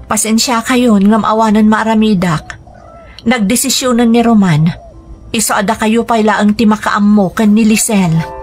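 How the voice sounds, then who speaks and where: A woman's voice: medium pitch, fairly even intonation, measured pace, natural voice. A woman with an Ilocano accent playing an apologetic, submissive daughter in a radio drama, with music underneath. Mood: apologetic, resigned.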